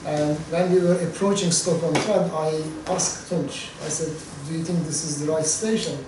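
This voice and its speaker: A man in his fifties with a Turkish accent, introducing a guest at a seminar in a measured, personal way. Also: a little high, fairly even intonation. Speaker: seminar host. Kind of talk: introducing a speaker. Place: seminar room. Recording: echoey seminar room, distant mic, background noise.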